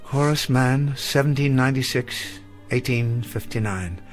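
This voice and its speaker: A man's voice: medium pitch, a little slow, faint music behind, lightly acted. Man playing a weary, elderly melancholy schoolteacher. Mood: bleak, ashamed. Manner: formal, eloquent, melancholy. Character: weary, self-doubting elderly male schoolteacher, gentle and bookish. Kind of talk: radio drama acting.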